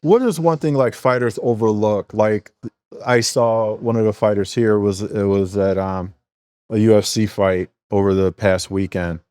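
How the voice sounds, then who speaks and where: A man's voice: fairly low pitch, moderate pace, a little mellow, no room sound, clean studio sound. A man hosting a podcast who asks questions loosely and casually. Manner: loose, casual phrasing with laughs and fillers. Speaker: podcast host.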